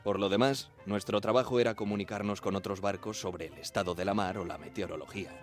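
An actor playing an earnest young radio operator of the 1910s, narrating in the first person in a measured, plain way. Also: male voice, fairly low pitch, fairly even intonation, close to an everyday voice. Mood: measured, reminiscing. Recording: music behind the voice.

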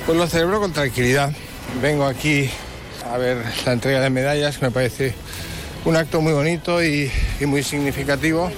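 A man, interviewed as a passer-by on a city street, speaking calmly and earnestly. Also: a little high, moderate pace. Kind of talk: street vox pop. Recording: street recording with music bed.

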